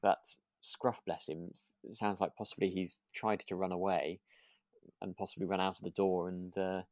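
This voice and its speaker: A man co-hosting a podcast in relaxed discussion, tentatively searching for his words as he goes. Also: low-pitched, normal speed, no room sound. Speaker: podcast co-host.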